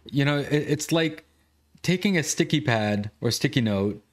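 A man's voice: mid-range voice, animated, normal speed. Male interviewer asking rambling questions. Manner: asks loose, rambling questions with fillers. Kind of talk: conversational interview.

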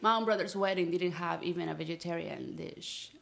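A woman's voice: fairly low pitch, fairly quick, creaky. Chatty woman. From Peru. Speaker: interview guest. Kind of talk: casual chat.

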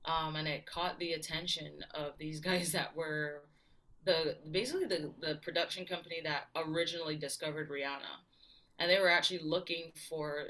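A woman's voice: low-pitched, bright, forceful tone, rough recording. A woman from Barbados, telling her story as a guest in a casual interview. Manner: chatty storyteller, many fillers and laughs. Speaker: guest singer-songwriter.

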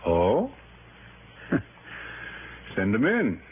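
A man's voice: fairly low pitch, wildly swooping pitch, very slow, heavily acted. A man acting a sly, genial insurance executive in a 1960s radio drama, hesitant and mock-casual in manner. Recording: tinny old radio broadcast, faint noise.

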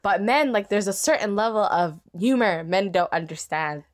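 A young woman tells a story from her own life in a loose podcast chat. She is animated and self-mocking, and she often laughs mid-sentence. Her voice sits at an ordinary mid pitch. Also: moderate pace. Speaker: podcast guest.

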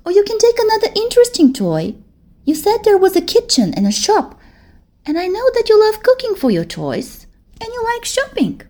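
A woman's voice: very high-pitched, huge pitch swings, moderate pace, a little mellow, voice pushed up, heavily acted. A woman voicing a caring nine-year-old girl in a children's story, in a reassuring, explaining manner. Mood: soothing.

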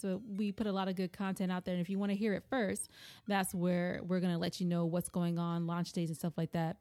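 A woman's voice: medium pitch, dry recording. A woman co-hosting a podcast in casual, teasing banter and plugs, speaking close into a microphone. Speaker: podcast co-host.

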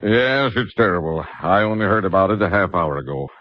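An actor playing a polite, concerned small-town banker in a 1950s radio drama. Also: male voice, sits low, expressive, brisk, very noisy. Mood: concerned, earnest. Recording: muffled old radio recording.

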